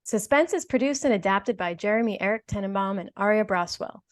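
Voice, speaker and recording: A woman's voice: medium pitch, a little fast, over loud music. A woman delivering scripted announcements and credits in an old-time radio style.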